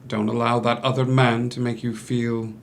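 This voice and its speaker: An actor playing a smooth-talking, scheming doctor and businessman in a stage drama read, with formal, measured, manipulative delivery. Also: medium pitch, level tone, a little hiss or hum, close to an everyday voice. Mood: stern, then soothing. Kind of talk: musical table read, dramatic stage acting.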